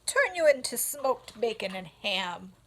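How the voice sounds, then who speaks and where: A woman's voice: a little high, big pitch swings, unhurried, a bit bright, heavily acted. A woman doing a blunt old ewe. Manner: matter-of-fact, blunt. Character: old female sheep, blunt and gloomy.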